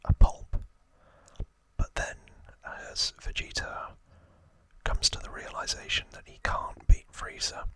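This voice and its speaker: A man whispering as an ASMR host, telling trivia in a hushed, halting storytelling manner. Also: dry recording. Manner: hushed, slow, halting delivery.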